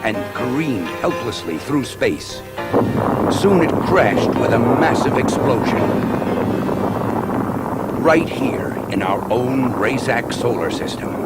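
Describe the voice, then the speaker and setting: Deep, solemn storytelling insect elder. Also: male voice. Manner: grave, deliberate, theatrical. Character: solemn elder insect warrior telling sacred ancestral history. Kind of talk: dramatic scripted storytelling on a children's story record. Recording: studio story record with music underneath.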